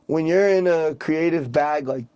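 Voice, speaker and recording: A man's voice: fairly high pitch, unhurried, mellow, clear, resonant tone, no room sound. A young man, a rapper, chatting casually on a podcast, rambling and leaning heavily on filler words. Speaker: rapper guest.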